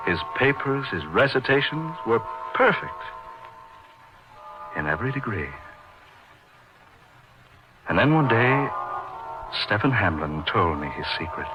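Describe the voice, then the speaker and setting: An actor playing an earnest, awestruck young doctor telling a dark tale in a theatrical, emphatic old-time radio style. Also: male voice, medium pitch. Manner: theatrical, florid, emphatic delivery. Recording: muffled radio drama recording.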